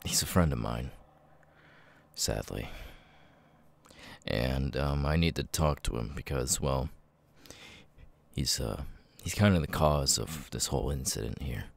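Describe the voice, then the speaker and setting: A man voicing a weary, homeless young ex-convict with tics, halting and stammering in an ASMR audio roleplay. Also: extremely low pitch, gravelly, no room sound, exaggerated voice. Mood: weary, hesitant. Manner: halting, stammering, with abrupt repeated-word tics. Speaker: voice actor. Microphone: close microphone, dry.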